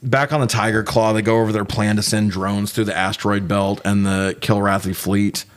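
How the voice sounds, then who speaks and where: A man's voice: fairly low pitch, flat delivery, moderate pace. A man co-hosting a casual, comedic movie review, talking with sarcastic, profane joking. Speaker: podcast co-host reviewing movies.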